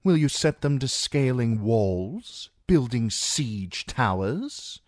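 A man's voice: mid-range voice, big pitch swings, slow, no echo, clean studio sound, pitched above the performer's own voice. A man voicing a weary, pragmatic young knight who argues with sardonic reasoning. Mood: arguing.

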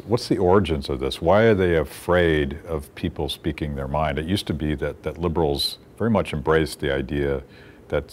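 Very deep-voiced male interviewer. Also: a little hiss or hum. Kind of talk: sit-down interview. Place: brewery taproom, indoors.

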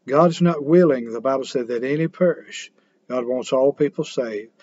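An older man preaches earnestly into a webcam in a small room, his voice at a middling pitch. The sound is a bit dull and lo-fi, with plain fillers between phrases. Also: normal speed, warm tone, a little hiss or hum. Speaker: pastor. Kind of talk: sermon. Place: small home room indoors.

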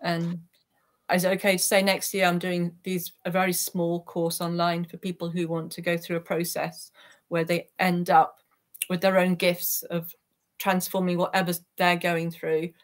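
A woman's voice: lowish voice, completely flat delivery, normal speed. A woman, speaking earnestly and reflectively as an interview guest on a video call. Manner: earnest, heartfelt. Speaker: interview guest, peace activist. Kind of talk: reflective personal account in an online interview. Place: small attic room at home, indoors. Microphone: computer microphone on a video call.

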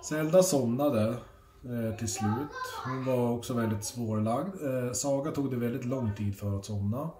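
A middle-aged man chatting casually for a family vlog, informal and sweary, often halting, recorded on a phone at arm's length indoors. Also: mid-range voice, dark tone. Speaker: family vlogger. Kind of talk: casual handheld vlog chat. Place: indoors at home, rooms and kitchen. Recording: roomy echo, faint household noise.

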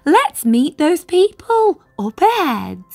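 A woman's voice: high-pitched, very animated, takes it slowly, creaky, dark tone, soft background music, pitched above the performer's own voice, full character voice. A woman voicing a cheerful, eager storybook king who exclaims in a friendly, grand way. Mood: eager, exclaiming.

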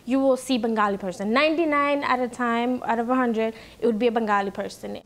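A woman's voice: a little high, brisk. A young woman from Bangladesh with a New York accent, chatting casually in a documentary interview from a small indoor office, leaning on "like" as she talks. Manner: chatty, leans on "like".

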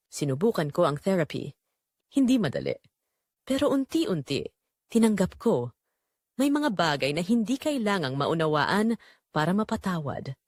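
A woman's voice: on the low side, no room sound, clean studio sound. A woman playing a remorseful, wounded young woman, narrating her confession earnestly and emotively in an audio drama with a Filipino accent. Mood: pained, subdued. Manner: earnest, reflective, emotive delivery. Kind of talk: scripted first-person dramatic narration in Tagalog audio drama.

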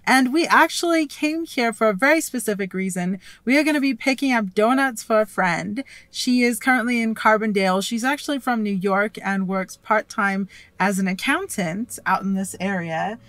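A woman's voice: mid-range voice, moderate pace, few pauses, fairly bright tone, no room sound. A middle-aged woman riding in a car and chatting to the camera in an upbeat, easygoing way. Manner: chatty, upbeat, laughs easily. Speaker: vlog co-host and passenger. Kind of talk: casual chat to camera. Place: inside a car. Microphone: camera mounted on the dashboard. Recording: clear road noise in a car.

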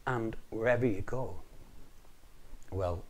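A man playing a confiding friend in a told folk tale, speaking low and hushed as if letting you in on a secret. The voice sits in a low register and stays close to everyday speech. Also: slow pace, slight background noise.

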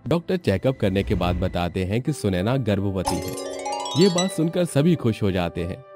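A man's voice: on the low side, very animated, normal speed, over music. A man narrating a scripted family story.

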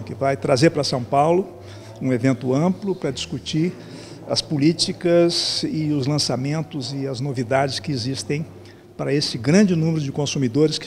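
A Brazilian man of seventy gives an interview answer as a fair organizer. His voice is gravelly and fairly high for a man, over faint event noise. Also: a little mellow. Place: event lounge. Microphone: handheld interview microphone.

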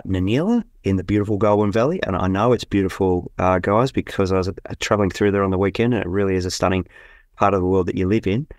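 A man's voice: deep voice, no room sound, clean studio sound. A man hosting a podcast interview, introducing guests and asking questions with frequent um and uh. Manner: many fillers and restarts while introducing guests. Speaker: podcast host.

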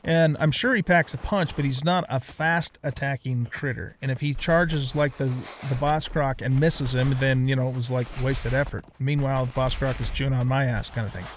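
A man's voice: medium pitch, steady tone, moderate pace, hardly any pauses, slight background noise. A middle-aged man giving casual gameplay commentary, rambling and thinking aloud as he hosts a let's play.

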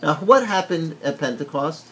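A middle-aged man whose first language is Italian, preaching an animated teaching sermon indoors and asking the room questions. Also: on the high side, moderate pace, slightly rough. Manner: animated, gesturing broadly, asks the room questions. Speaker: pastor. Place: wood-paneled indoor room.

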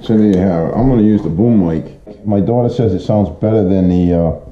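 A man's voice: fairly low pitch, a little fast. A middle-aged man giving a casual, plain-spoken product walkthrough in informal, colloquial language, as a vlogger. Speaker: vlogger showing motorcycle gear. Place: home kitchen, indoors. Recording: muffled, boxy room echo, noticeable hiss.

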